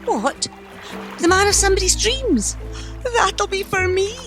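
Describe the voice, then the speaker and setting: A woman's voice: very high-pitched, lively intonation, measured pace, fairly bright tone, faint music behind, full character voice. A woman playing a discontented, wistful Scottish woman longing for change in an amateur radio play. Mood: eager, unsure.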